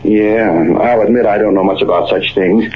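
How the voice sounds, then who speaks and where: An actor playing a simple, good-natured miner in an old radio western, talking in a plain, folksy frontier drawl. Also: male voice, fairly low pitch, fairly even intonation, normal speed, lightly acted. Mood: puzzled, uneasy. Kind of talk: 1940s radio western acting. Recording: tinny, noisy old-time radio recording.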